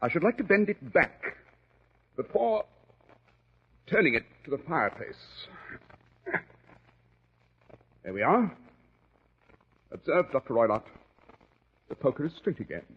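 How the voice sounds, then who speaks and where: An actor playing a shrewd, unruffled gentleman detective, precise and dryly witty, in 1940s radio drama style. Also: on the high side, sing-song, normal speed, pauses often, slightly rough, muffled recording, exaggerated voice. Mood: unruffled, mocking. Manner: urbane, precise, dryly ironic. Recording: thin, band-limited 1940s radio recording.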